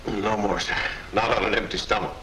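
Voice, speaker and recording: A man's voice: on the low side, steady tone, brisk, sharp tone. A man acting in a 1940s war film as a boastful young American airman with a breezy, eager manner. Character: cocky, boastful young American bomber lieutenant, a captive. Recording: noisy 1940s film soundtrack.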